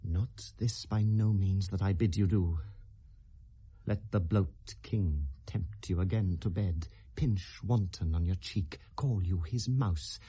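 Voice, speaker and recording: An actor playing an anguished, accusing young prince in 1970s British classical radio drama, declaiming verse in a Received Pronunciation accent with feverish intensity. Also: male voice, lowish voice, dark tone, husky. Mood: bitterly sardonic. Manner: declamatory, impassioned, theatrical.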